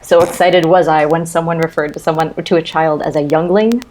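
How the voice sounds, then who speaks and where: Low-voiced woman chatting, wry and sarcastic. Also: fairly quick, a little mellow. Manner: wry, sarcastic, swears casually. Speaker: podcast guest. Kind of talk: casual book discussion. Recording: noticeable background noise.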